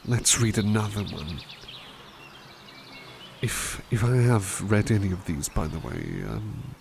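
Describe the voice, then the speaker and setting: Man voicing a courteous butler, formal, lively inflection, faint background noise. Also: on the low side, slow pace, creaky, full character voice. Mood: musing. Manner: formal, polite and musing. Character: kind, courteous male butler reading to listener. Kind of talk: ASMR roleplay reading.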